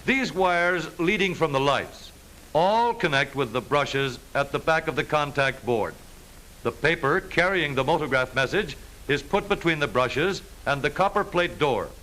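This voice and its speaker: A man with an American accent narrates in the style of an old educational newsreel. His voice sits fairly high and bright, with a lively, swinging intonation. The delivery is scripted but jaunty, with a wry, wisecracking edge. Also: a little slow, slight background noise, rough recording.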